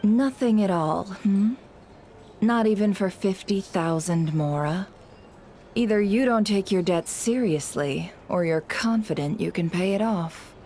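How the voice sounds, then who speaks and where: A woman playing a shrewd, teasing creditor and intel dealer in a video game, sly and coolly unhurried. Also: mid-range voice, mellow, slight background noise, close to an everyday voice.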